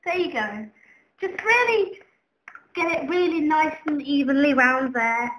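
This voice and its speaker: Very high-voiced young woman, roomy echo. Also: lively intonation, unhurried. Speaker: cooking show presenter. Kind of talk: mock cooking show demonstration. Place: home kitchen. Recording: echoey kitchen, faint background noise.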